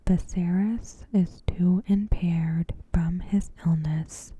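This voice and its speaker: Female ASMR narrator: extremely soft and mellow, whispered and breathy, lowish pitch, unhurried scripted delivery with careful pauses. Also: steady tone, slight background noise, low-quality recording. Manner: hushed, slow, deliberate pauses. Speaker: ASMR host. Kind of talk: ASMR, softly spoken scripted episode recap.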